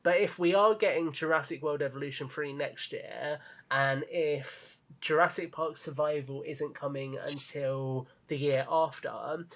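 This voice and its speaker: A man's voice: fairly high pitch, a bit bright. A man in a casual podcast discussion, explaining and speculating in a reasoned way. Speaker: podcast panelist and article writer.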